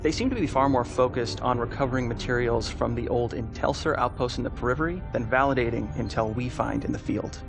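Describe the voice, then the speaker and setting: A man's voice: mid-range voice, a little fast, vocal fry, hardly any pauses, over music, lightly acted. A man playing a confident, defiant young mech warrior and code-breaker in a game cutscene, speaking earnestly with slight hesitation. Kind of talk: scripted video game cutscene acting.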